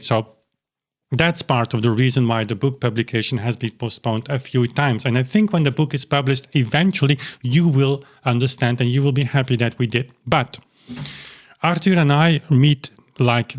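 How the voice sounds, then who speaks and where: Rambling man talking to camera. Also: mid-range voice. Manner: rambling, with many restarts and fillers. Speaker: YouTube channel host. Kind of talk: casual talk to camera. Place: small cozy home studio.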